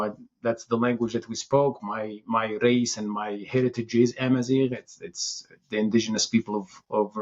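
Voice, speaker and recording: Husky-voiced Moroccan-born man, thinking aloud. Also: mid-range voice, muffled recording. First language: Tamazight. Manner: thoughtful, restarts phrases often. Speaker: city information security chief, guest. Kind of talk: casual interview answers.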